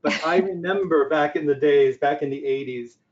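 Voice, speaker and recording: A man's voice: fairly high pitch, moderate pace, a little hiss or hum. An older man giving a conversational presentation on business technology, explaining things by posing questions and answering them. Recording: dull, lo-fi audio.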